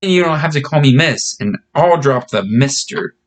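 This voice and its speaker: A man's voice: fairly high pitch, expressive, normal speed, lightly acted. A man voicing a friendly, reassuring young girl in a storybook reading, chatting and explaining things simply. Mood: cheerful.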